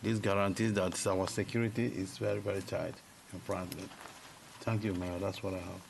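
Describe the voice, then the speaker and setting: A man's voice: low voice, little pitch movement, moderate pace, gravelly, background noise. A councilman giving a short, formal report at a meeting, repeating words as he goes.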